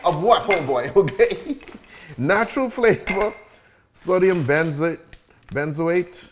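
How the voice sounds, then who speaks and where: Man, american accent, cocktail show host, joking and profane, lively swooping pitch, faint noise. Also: takes it slowly. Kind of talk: casual cocktail-making chat.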